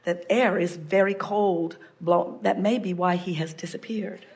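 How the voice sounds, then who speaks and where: An older woman giving a measured, explanatory seminar talk, referring to herself in the third person. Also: lowish voice, steady tone, heavy background noise. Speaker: spiritual speaker answering a guest. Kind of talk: live seminar channeling talk.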